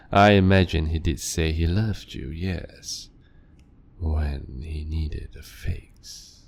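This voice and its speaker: Brief: vampire doctor, deep, unhurried, silky, toying with his patient. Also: steady tone, creaky, full character voice. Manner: slow, silky, toying, with soft laughs. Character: male vampire doctor, calm, sadistic, clinical. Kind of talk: audio drama roleplay.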